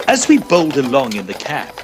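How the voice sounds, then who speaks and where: A man playing a fussy, curious Edwardian gentleman ghost hunter in a tongue-in-cheek period audio drama, speaking in a formal, exclamatory, old-fashioned way. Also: medium pitch, wildly swooping pitch, fairly quick, over music. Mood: measured storytelling.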